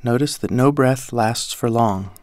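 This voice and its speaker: A man with a mid-pitched voice leads a guided meditation. He gives each instruction calmly and deliberately, like a teacher. The sound is dry, with no room echo. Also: clean tone.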